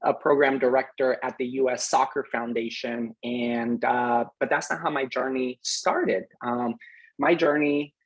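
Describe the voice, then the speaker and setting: A middle-aged man from Peru giving a thoughtful, unhurried message to graduating students, with frequent pauses and fillers. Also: medium pitch, fairly even intonation, muffled recording. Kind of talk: recorded address to graduating students.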